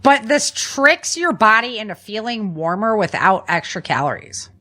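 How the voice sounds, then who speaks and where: A woman's voice: mid-range voice, big pitch swings, moderate pace, pushed and bright. A woman co-hosting a podcast, chatting informally as she explains practical tips. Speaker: podcast co-host.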